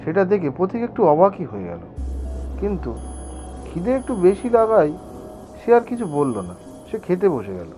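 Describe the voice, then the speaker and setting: High-pitched, animated male horror storyteller. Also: moderate pace, pauses often, soft background music. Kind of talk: scripted horror story narration.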